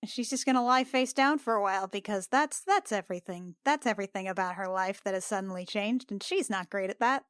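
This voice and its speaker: A woman with a mid-pitched voice, recorded dry and close, plays a tabletop game. She chats casually and describes her character's actions in an offhand, conversational style. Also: fairly quick, few pauses, a bit bright, smooth, no room sound. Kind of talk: casual chat and character narration.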